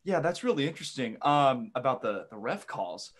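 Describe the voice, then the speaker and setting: Casual American man hosting, medium pitch. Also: sing-song, moderate pace. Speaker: podcast host. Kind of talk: casual sports interview chat.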